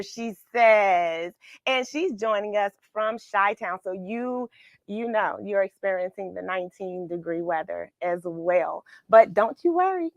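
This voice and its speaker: A woman's voice: medium pitch, no echo. An American woman hosting a read-along for children, chatting with viewers, asking them questions and encouraging them. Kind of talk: chatty livestream greetings and story reading for children.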